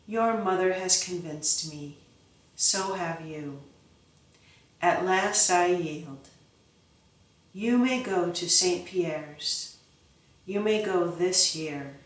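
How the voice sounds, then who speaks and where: A woman's voice: fairly low pitch, extremely slow, pauses often, distant mic. A woman reading the part of a giant medieval lord, a stern and fervent father who gives solemn, fierce commands in a storybook. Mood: gentle. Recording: echoey room, from off-mic.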